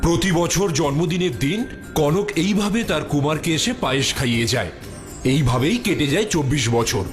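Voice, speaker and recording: A man's voice: on the high side, expressive, normal speed. A man narrating a scripted fairy tale as a storyteller. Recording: music clearly behind the voice.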